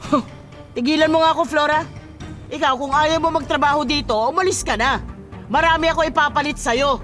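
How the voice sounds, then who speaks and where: A woman's voice: high voice, brisk, faint music behind. A woman playing a greedy, domineering middle-aged mother and boss in a radio drama, scolding and imperious. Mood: angry. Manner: sharp-tongued, scolding, imperious.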